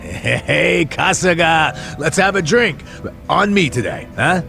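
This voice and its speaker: An actor playing a hearty, generous middle-aged man with a gravelly voice in a video game dub, talking casually and jovially. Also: on the high side, very animated, slow pace, pushed and bright, soft background music, exaggerated voice. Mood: cheerful.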